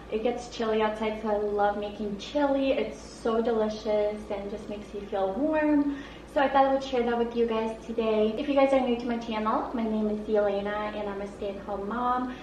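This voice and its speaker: A woman's voice: on the high side, a little mellow, a little hiss or hum. A young woman, a lifestyle vlogger and stay-at-home mom, cheerfully opening her channel video and chatting to her viewers from her home kitchen. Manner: chatty, addresses viewers as guys. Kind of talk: upbeat channel intro. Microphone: camera mic from a few feet away. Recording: roomy kitchen, somewhat distant mic.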